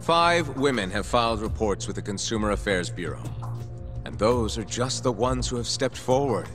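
A man's voice: medium pitch, expressive, moderate pace, a bit bright, faint music behind, lightly acted. A man playing an abrasive, hot-tempered prosecutor in a video game, speaking in a cutting, formal, pointed way. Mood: accusatory. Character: abrasive, accusatory male prosecutor, hot-tempered and self-righteous. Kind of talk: English-dub video game cutscene acting.

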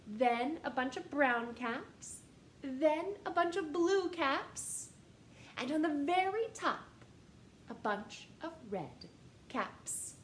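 A woman in her thirties reads a picture book aloud, slowly and with a high, lively voice. She talks in a sing-song way to young listeners. The room is echoey, with a faint hiss behind her. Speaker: storytime reader. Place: living room, on a couch. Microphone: camera in front at close range.

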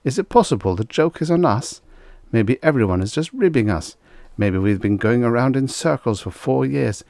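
A man's voice: medium pitch, expressive, normal speed, vocal fry, darkish tone, no echo, voice pushed up. A man voicing a puzzled spaceman who speaks in an earnest, questioning way. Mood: bewildered. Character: bewildered, pleading younger-ranking spaceman companion, a man.

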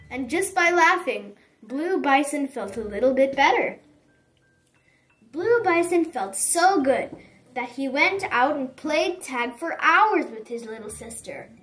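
A woman narrates a picture book for young children in a high, very animated voice. She takes her time, leaning on words expressively. The mic sounds a little distant and soft music plays behind her. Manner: expressive, emphatic storytelling. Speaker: children's storybook reader. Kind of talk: dramatic read-aloud narration for young children.

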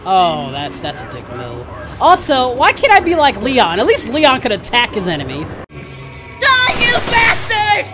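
A woman's voice: fairly high pitch, huge pitch swings, measured pace, music in the background. A woman chatting casually as host of a game livestream, with playful, sweary banter. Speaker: streamer host.

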